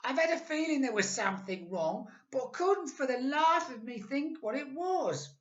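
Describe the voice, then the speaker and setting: A shrill, terrified old wife; very high and swooping, for a storybook. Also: female voice, animated, normal speed. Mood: fretful. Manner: high wailing cries. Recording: echoey room, dull lo-fi.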